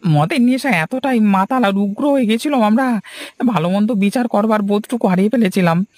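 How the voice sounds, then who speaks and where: A man's voice: high voice, brisk, a little mellow, no room sound, studio quality, natural voice. A man voicing a frightened, guilt-ridden villager in a horror cartoon, stammering and whining as he pleads. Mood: fearful confessing. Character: panicky guilt-ridden village man begging for his life. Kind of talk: Bengali horror cartoon dubbing. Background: music.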